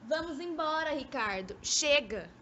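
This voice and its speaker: A woman's voice: sits high, lively intonation, a little slow, a bit bright. A young woman acting a haughty, bored, reluctant former lover in an amateur radio drama, with a Brazilian accent and petulant delivery. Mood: impatient. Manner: petulant, disdainful. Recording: dull, noisy amateur recording.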